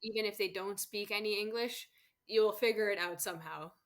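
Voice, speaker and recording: A woman's voice: medium pitch, brisk. A woman from Canada, a guest on an interview show, chatting casually about travel and laughing often. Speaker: guest, solo travel expert.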